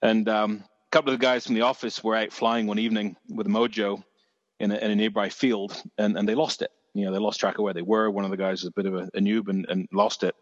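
A man's voice: fairly low pitch, flat delivery, quick pace, no echo, long reverb tail, muffled recording. A man with a Dorset accent telling stories in a casual chat, rambling with many restarts and the odd swear word. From England.

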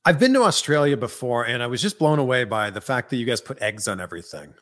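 Playful host, lively up-and-down delivery, teasing questions; casual interview. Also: medium pitch, fast talker. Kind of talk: casual chat.

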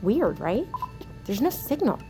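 A woman's voice: medium pitch, sing-song, measured pace, music in the background, poor audio. An actress playing an anxious, agitated woman in a sci-fi thriller audio drama, speaking with urgency. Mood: uneasy.

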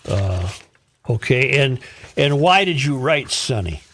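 A man hosting a radio show, reading aloud and chatting in a deliberate, picky way, spelling things out. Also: medium pitch, big pitch swings, a bit bright.

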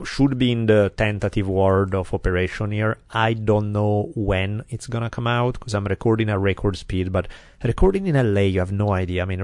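An Italian-accented man hosts a podcast in casual chat. His voice sits low and he wanders through his points with plenty of ums. The studio sound is close and dry. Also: darkish tone, dry recording. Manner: chatty, rambling with many fillers. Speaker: podcast host. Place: quiet recording studio.

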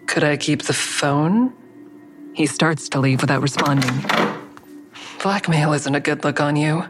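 A woman's voice: low voice, measured pace. Wry, self-questioning woman over music. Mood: wry, resigned. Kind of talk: first-person audio drama narration. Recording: music bed under the voice.

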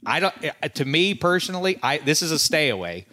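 An American man in casual sports betting banter, talking in an animated, rambling, teasing way. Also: a little high, fairly quick. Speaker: sports talk show panelist.

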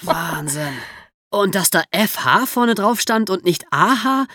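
A man with a husky voice and very lively pitch movement chats casually as a co-host. He asks questions in colloquial language, and the sound is dry and close. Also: slow pace, no echo. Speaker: podcast co-host asking questions. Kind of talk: casual chat.

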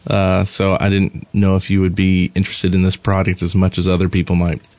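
Low-voiced man rambling through casual chat. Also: completely flat delivery, brisk, a little mellow, dry recording. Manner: loose, rambling, hesitant explanations. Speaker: podcast co-host. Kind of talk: casual gaming podcast chat.

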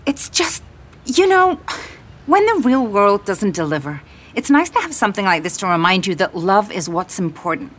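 A woman voicing an excited, pert young female tourist with a gushing, romantic streak in an audiobook. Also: mid-range voice, moderate pace, husky. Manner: pert, effusive. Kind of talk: audiobook character dialogue.

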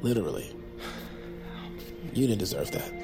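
An actor as a slangy young buddy, music behind. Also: male voice, lowish voice, lively intonation, moderate pace, lightly acted. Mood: sincere, teasing. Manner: casual, slangy, teasing. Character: laid-back young sidekick, loyal, teasing, slangy buddy. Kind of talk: audio comedy drama acting.

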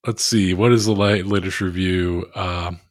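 Podcast guy, flat monotone, rambly self-mocking jokes, dry close sound. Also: deep voice, normal speed, no echo. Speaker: podcast co-host. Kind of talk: casual podcast chat.

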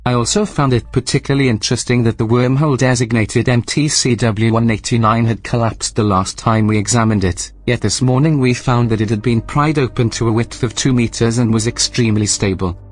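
A man playing a controlling station AI in a sci-fi audio drama, with a medium-pitched male voice. He is coldly polite and sardonic, choosing each phrase deliberately to threaten. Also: steady tone, no room sound, lightly acted. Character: coldly menacing, controlling male artificial intelligence. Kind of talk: science-fiction audio drama acting.

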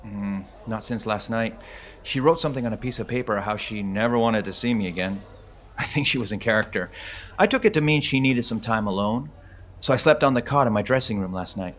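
A man's voice: on the low side, fairly quick, a bit bright, natural voice. A man playing a naive, good-natured personal trainer turned stage actor in a scripted comedy audio drama. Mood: sincere, mild.

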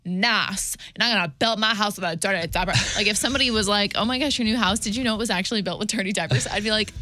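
A female radio co-host with a bright, crisp-edged voice at a middling pitch. She chats in a loose, colloquial way over a faint music bed. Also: fast, no room sound. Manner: chatty, colloquial. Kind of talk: casual radio banter.

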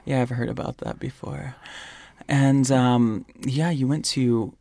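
A man from Michigan hosting a podcast in an animated, campy and chatty way, close to the mic. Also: mid-range voice, slow pace, vocal fry, no room sound. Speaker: podcast host. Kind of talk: casual podcast chat.

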